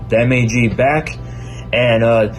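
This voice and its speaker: A man's voice: mid-range voice, steady tone, unhurried, low-quality recording. Guy starting a vlog, keeps restarting his words, light music. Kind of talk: vlog intro.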